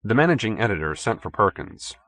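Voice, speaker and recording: A man's voice: fairly low pitch, sing-song, a little fast, fairly bright tone, no echo. A man reading scripted fiction as an audiobook narrator.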